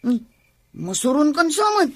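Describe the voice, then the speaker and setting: A woman's voice: sits high, sing-song, measured pace. A woman playing an irritable, curt pregnant young wife in an Ilocano comedy radio drama, speaking with an Ilocano accent. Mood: irritated. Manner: grumbling, curt, complaining.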